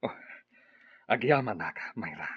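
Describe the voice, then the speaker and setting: Casting: a righteous war chief, husky with animated swings in pitch, emphatic, for radio drama. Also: male voice, unhurried, dry recording, dull audio. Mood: grave. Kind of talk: Ilocano radio drama acting.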